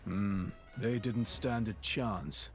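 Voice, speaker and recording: A man's voice: lowish voice, slow, faint music behind. A man playing a breezy, roguish adventurer in a video game, with a drawling, teasing manner. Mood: grim.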